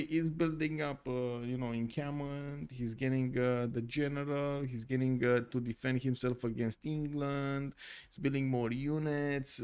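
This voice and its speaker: Caster, man, Romanian accent, slightly high voice, rambling live commentary, reads chat aloud. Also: clear, resonant tone. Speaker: esports caster.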